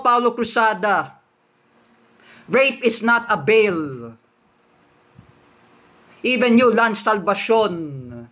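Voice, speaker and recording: High-voiced Filipino man ranting angrily. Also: fairly bright tone. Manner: accusatory, repetitive, halting English with restarts. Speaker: vlogger. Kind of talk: angry rant to camera.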